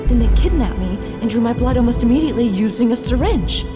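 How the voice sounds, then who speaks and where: High-voiced, emotional young female scientist played by an actress. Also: lively intonation, fast talker, close to an everyday voice. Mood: grieving anger. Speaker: actor in an audio drama. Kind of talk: emotional audio drama acting. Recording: dramatic music behind the voice.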